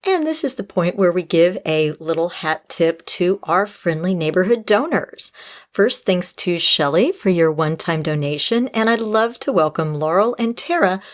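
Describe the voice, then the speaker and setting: A woman's voice: on the low side, lively intonation, moderate pace. A woman hosting a podcast in a conversational style, giving advice and talking to listeners. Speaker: podcast host.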